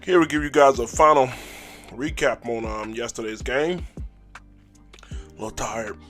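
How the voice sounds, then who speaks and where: Hoarse male fan-show host, casual, hyped, clears throat often, mid-pitch. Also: measured pace, a bit bright, soft background music. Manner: hype call-and-response, throat clearing. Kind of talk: casual sports fan recap.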